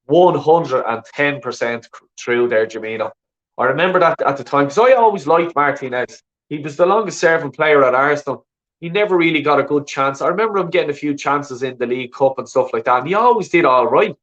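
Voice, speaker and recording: A man's voice: on the high side, lively intonation, brisk, fairly bright tone. A man from Ireland with a Dublin accent, hosting a call-in show in a rambling, sweary casual chat full of "you know what I mean". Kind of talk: casual chat reading viewer comments. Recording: dull lo-fi sound.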